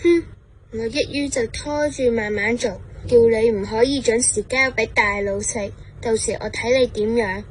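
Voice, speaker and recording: A woman's voice: a little high, moderate pace, a little mellow, slight background noise, natural voice. A woman playing a grumbling office worker. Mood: resentful. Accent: Cantonese. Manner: grumbling, indignant. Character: resentful young office worker soldier.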